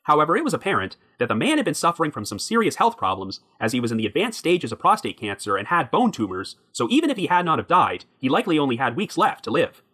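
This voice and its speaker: Lively, fast-talking male narrator. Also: a little high. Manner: reads a prepared script in an even, measured way. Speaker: podcast host. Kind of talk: scripted true crime narration.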